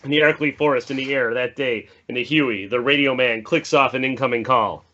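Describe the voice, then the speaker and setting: A man's voice: medium pitch, a little fast, sharp tone, lo-fi sound. A man reading screenplay stage directions aloud as narrator at a table read.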